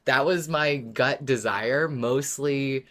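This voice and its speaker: A podcast co-host chatting casually and enthusiastically about a favourite story, quick to giggle. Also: mid-range voice, a bit bright. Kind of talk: casual fan podcast discussion.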